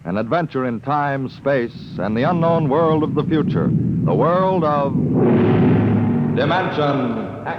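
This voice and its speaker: A man's voice: medium pitch, animated, takes it slowly, heavy background noise. A man with an American accent reading scripted credits as a formal, dramatic 1950s radio announcer. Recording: muffled vintage radio broadcast. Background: loud music.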